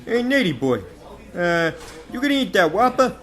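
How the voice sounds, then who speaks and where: A man's voice: sits high, sing-song, normal speed, a bit bright, faint music behind. A man playing a brash, self-serving hustler in a radio drama, with slangy, wisecracking showman talk. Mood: cocky, persuasive.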